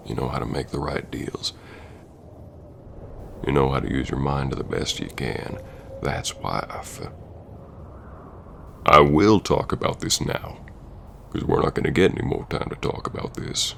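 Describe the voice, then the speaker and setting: A man playing a stern, hurt Old West bounty hunter confronting an old flame in an ASMR roleplay, brooding and halting yet tender. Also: background noise, heavily acted. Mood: hurt, demanding. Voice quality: very deep, gravelly drawling voice. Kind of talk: ASMR roleplay acting, spoken to the listener.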